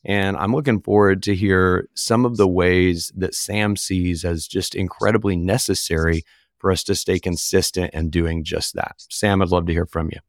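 Slightly hoarse man, low, dry. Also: fairly even intonation, moderate pace, no echo. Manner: easygoing, loose, lots of fillers. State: recovering from voice loss, occasional squeak. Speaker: podcast co-host. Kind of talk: casual podcast chat.

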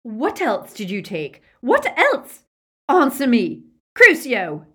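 A woman's voice: fairly high pitch, huge pitch swings, a little slow, fairly bright tone, voice pushed up, heavily acted. A woman voicing a cruel, deranged witch in an audiobook, screaming and screeching as she interrogates. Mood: furious.

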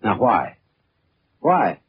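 Tough american private eye, 1940s radio noir, deadpan wisecracks, low voice, dull old recording. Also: male voice, very slow, a little hiss or hum, exaggerated voice. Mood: tough, needling. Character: wry, tough male private detective narrating his case. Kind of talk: 1940s hardboiled radio drama narration and dialogue. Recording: muffled old radio broadcast with music.

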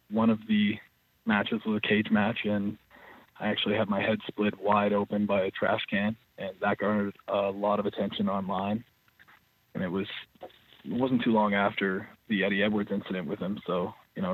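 A professional wrestler, a man, answering interview questions in a steady, matter-of-fact way. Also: on the low side, husky, no room sound. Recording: tinny dry phone line.

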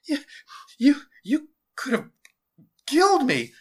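A man voicing a cocky, easily rattled schoolboy with an excitable delivery in an audiobook story. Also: high-pitched, unhurried, voice pushed up, exaggerated voice. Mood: terrified, stammering. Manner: excitable, stammering.

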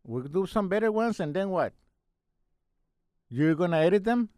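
A man co-hosting a comedy podcast, chatting casually and haltingly, often repeating his words. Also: sits high, animated, a little mellow, clear, resonant tone, no room sound, studio quality. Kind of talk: casual chat, banter.

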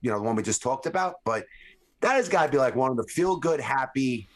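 A man's voice: mid-range voice, lively intonation, fast talker. A man co-hosting a podcast in casual chat, joking and teasing his friends in a playful way. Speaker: podcast co-host.

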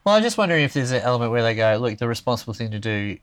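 A man's voice: medium pitch, sing-song, very fast, clean tone, no echo. A man hosting a casual chat, rambling and thinking aloud with many restarts.

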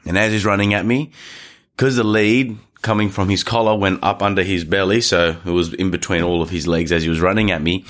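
A man's voice: low voice, steady tone, brisk, a bit bright. A man with a nasal, stuffy voice from hay fever, talking casually about dog safety as a podcast host, rambling through a story with restarts. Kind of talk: casual advice talk. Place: studio.

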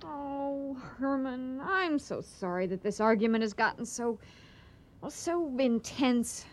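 An actress playing an excitable, vengeful restaurateur in a radio comedy, with a flustered and emphatic manner. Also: female voice, sits high, slow pace. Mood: softening. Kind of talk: 1980 radio theater comedy acting.

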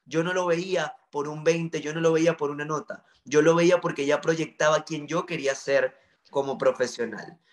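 Earnest Venezuelan man, higher voice. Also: fairly even intonation, moderate pace. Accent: Venezuelan (Maracaibo). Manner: earnest, reflective, with frequent fillers. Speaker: journalist guest. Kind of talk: interview storytelling.

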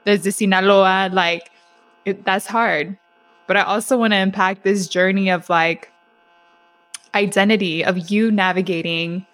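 A woman's voice: medium pitch, fairly even intonation, measured pace, fairly bright tone. A woman hosting a conversational interview, enthusiastic and casual, asking a guest to open up. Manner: enthusiastic, uses casual slang. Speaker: host.

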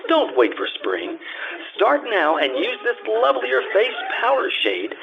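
Old radio ad guy, emphatic sales pitch, super animated, tinny phone-like sound. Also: unhurried, creaky, crowd noise. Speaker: commercial announcer. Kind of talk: old-time radio cosmetics advertisement, scripted. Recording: very tinny band-limited audio with noise.

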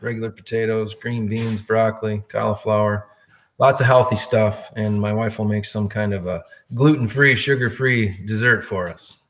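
A man's voice: fairly low pitch, steady tone, normal speed, a bit bright. A man in his fifties from Wisconsin, a podcast guest talking in a friendly, rambling way. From Wisconsin, USA. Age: 50s. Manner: friendly, rambling, repeats words. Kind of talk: casual interview talk.

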